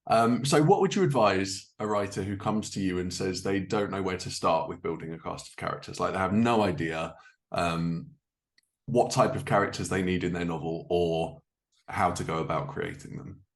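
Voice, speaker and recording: A man's voice: sits low, normal speed. A man hosting a podcast, asking questions in a casual interview style.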